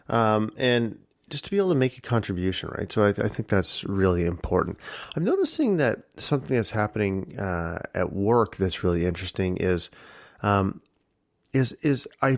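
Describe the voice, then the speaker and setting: Husky-voiced man talking at dawn. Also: fairly low pitch, dry recording, studio quality. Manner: reflective, rambling with many fillers. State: early-morning tired voice. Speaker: podcast host. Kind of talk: casual solo talk.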